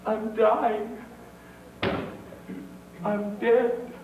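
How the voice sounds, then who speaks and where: A man's voice: sits high, little pitch movement, very slow, narrow, tinny audio. An actor on stage playing a miserly old father who wails and rants theatrically over his stolen money. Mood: despairing. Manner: frantic, wailing, theatrical. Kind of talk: stage acting. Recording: far roomy stage mic, audience noise.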